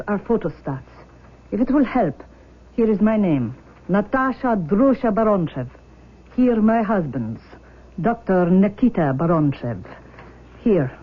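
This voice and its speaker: An actress playing a formal, imperious mother in a 1970s radio drama, speaking in a haughty, deliberate manner. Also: medium pitch, natural voice. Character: formal, imperious Russian mother demanding her daughter. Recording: dull vintage radio broadcast.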